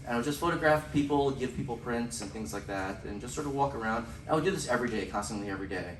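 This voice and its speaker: Man, panelist, joking, animated, mid pitch, echoey room. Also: fast. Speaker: photographer on a panel. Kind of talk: panel discussion. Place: event room at a photography center. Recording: roomy room mic from far off, background noise.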